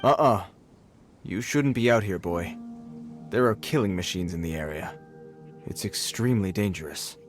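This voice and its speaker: An actor playing a formal, dutiful patrol guard who is awkward with children. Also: male voice, deep voice, moderate pace, long pauses, faint music behind, natural voice. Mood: stern. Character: adult male patrol guard, stiff and dutiful.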